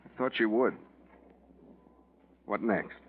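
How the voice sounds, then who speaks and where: A man's voice: fairly low pitch, animated, slow, slightly rough, full character voice. An actor playing a nervous, evasive, guilty man who stammers and pleads defensively, in 1940s radio style. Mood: weary, uneasy. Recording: muffled old radio recording.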